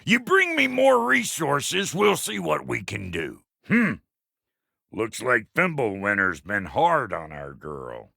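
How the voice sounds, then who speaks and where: A man voicing a gruff, cocky, middle-aged dwarf blacksmith for a video game, with a rough gravelly voice, an American accent and a brash, folksy, profane manner. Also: mid-range voice, huge pitch swings, slow pace, pushed and bright, dry recording, clean studio sound, exaggerated voice. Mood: gruff, grumbling. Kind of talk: video game character voice lines.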